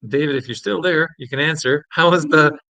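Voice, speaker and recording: A man co-hosting a casual livestream, chatting with viewers in a joking, animated way and often chuckling. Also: fairly high pitch, brisk, no room sound, rough recording. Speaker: co-host of a livestream podcast.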